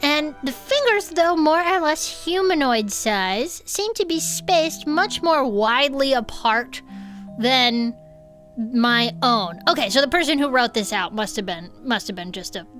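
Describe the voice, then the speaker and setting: A woman co-hosting a comedy podcast, playful and expressive as she reads aloud and chats. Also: sits high, measured pace, soft background music. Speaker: podcast co-host. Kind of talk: comic reading aloud of a report with chatty asides.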